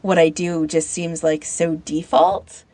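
Woman chatting casually, low-pitched, dry close sound. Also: level tone, mellow, no room sound. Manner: informal, halting, thinks aloud. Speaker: podcast panellist recommending fan audio fiction. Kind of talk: casual chat.

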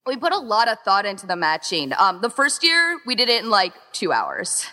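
A woman's voice: medium pitch, huge pitch swings, moderate pace, heavy background noise. A woman chatting casually as an interview guest, rambling along and quoting imagined remarks as she explains how she organizes things. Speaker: interviewed co-founder and organizer of a fan audio exchange. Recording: long echoing reverb.

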